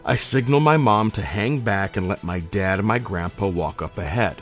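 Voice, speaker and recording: A man's voice: lowish voice, moderate pace, fairly bright tone. A man telling a scripted comic personal story in a dramatic, emphatic, profane manner. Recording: music playing under the voice. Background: background music.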